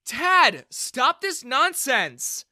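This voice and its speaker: A man voicing a spirited young woman, indignant and high-voiced. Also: big pitch swings, extremely slow, bright, forceful tone, no echo, clean studio sound, exaggerated voice. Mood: angry.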